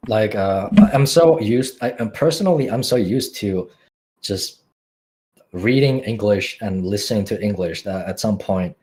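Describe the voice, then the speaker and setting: A man's voice: on the low side, measured pace, a little mellow. A man with a Finnish accent, a non-native English speaker, answering podcast questions in a reflective way, often searching for words with fillers and restarts. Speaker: podcast guest, music producer.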